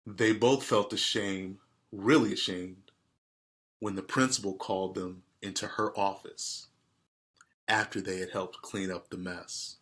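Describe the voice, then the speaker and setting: A man reading a storybook aloud and speaking directly to his listeners in a deliberate way. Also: sits low, fairly even intonation, fairly bright tone. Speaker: read-aloud host. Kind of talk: storybook read-aloud with casual asides to listeners.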